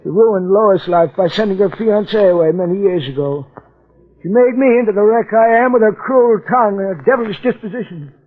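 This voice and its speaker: A man's voice: high voice, fairly quick. An actor playing a sick, bitter, hot-tempered man in an old-time radio drama, querulous and indignant. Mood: bitter, suspicious. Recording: muffled, phone-like old radio recording.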